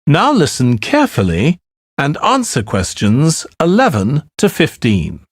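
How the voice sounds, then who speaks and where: Male exam announcer, formal scripted delivery, unhurried, with strongly varied intonation. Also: fairly high pitch, wildly swooping pitch, no echo, clean studio sound. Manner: formal, deliberate. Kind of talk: scripted test instructions.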